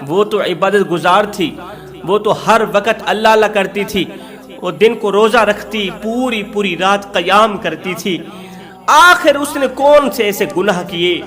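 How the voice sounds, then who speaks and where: A man's voice: high voice, measured pace, a bit bright. A man voicing humble, anxious villagers petitioning a holy man in a sermon story, respectful and beseeching. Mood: pleading.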